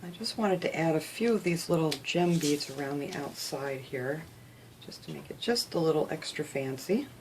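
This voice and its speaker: Low-voiced chatty woman, sniffly. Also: normal speed, noisy background. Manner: chatty, relaxed, lots of "you know". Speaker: craft video host. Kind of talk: casual step-by-step craft tutorial. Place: home craft room.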